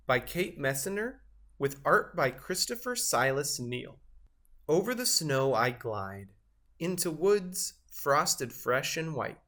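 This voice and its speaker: A man reading a children's picture book aloud, with expressive delivery and measured, careful phrasing. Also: mid-range voice. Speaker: storybook reader.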